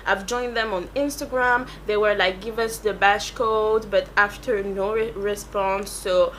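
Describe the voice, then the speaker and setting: A woman's voice: mid-range voice, steady tone, unhurried, fairly bright tone, a little hiss or hum, rough recording. A French-accented woman, a native French speaker, chatting casually through a makeup tutorial and rambling as she searches for English words. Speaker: beauty YouTuber.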